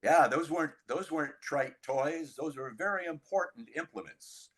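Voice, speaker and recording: An older man interviewing conversationally and telling stories, with deliberate, dramatic pauses. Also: a little high, fairly bright tone.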